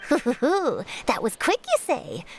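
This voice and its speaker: A woman's voice: high-pitched, huge pitch swings, measured pace, noisy background, heavily acted. A woman playing an eager, perky young newspaper reporter in a video game, theatrical and inquisitive. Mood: inquisitive, triumphant.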